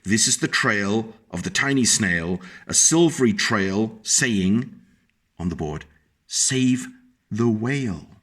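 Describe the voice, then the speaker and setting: A man reading a picture book aloud to young children, animated and stopping to explain the pictures to his small listeners. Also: fairly low pitch, slow pace.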